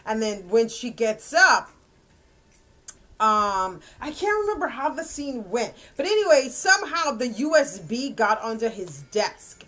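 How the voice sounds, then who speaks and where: A woman's voice: on the high side, sing-song, unhurried, a bit bright. A woman in her fifties giving a rambling, casual book review, often correcting herself and laughing. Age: 50s.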